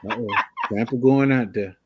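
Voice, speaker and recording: A man's voice: medium pitch, normal speed, breathy edge, lo-fi sound. Stammering older man. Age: 60s. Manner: stammering restarts, Southern vernacular, good-natured. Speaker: livestream co-host. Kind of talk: casual livestream chat.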